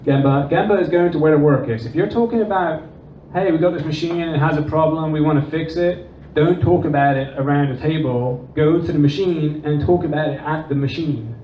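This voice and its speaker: A man with a Dutch accent, giving an animated conference talk and acting out quoted dialogue. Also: fairly high pitch, fairly quick. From Netherlands and England. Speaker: conference presenter. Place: conference room. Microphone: distant room recording. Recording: distant, roomy, dull with faint noise.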